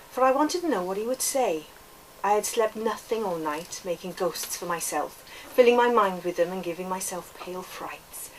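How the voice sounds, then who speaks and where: A woman's voice: fairly low pitch, natural voice. Earnest welsh kid telling his story woman doing it, lilting and lyrical, bit far from the mic, faint noise. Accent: put-on Welsh. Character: earnest Welsh boy narrating a storybook memoir. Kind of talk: expressive literary reading. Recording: distant microphone, background noise.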